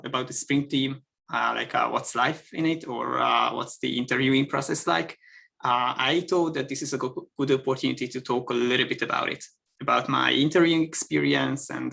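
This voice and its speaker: A man's voice: mid-range voice, vocal fry, bright, forceful tone. A man with a Hungarian accent, a native Hungarian speaker, chatting as a guest on a video call, talking hesitantly with many fillers and restarts. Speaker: guest engineer. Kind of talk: casual chat.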